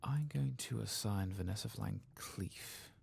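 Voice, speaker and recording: Low, gravelly soft-spoken man. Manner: murmured, unhurried, reading quest text aloud. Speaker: ASMR gaming channel host. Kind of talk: soft-spoken, partly whispered game playthrough commentary.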